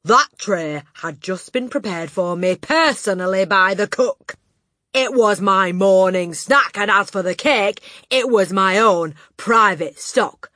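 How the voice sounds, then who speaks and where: A woman voicing a brutal, tyrannical female headmistress who shouts slowly and booms. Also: animated, dry recording, studio quality. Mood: furious. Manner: shouting, slow and booming.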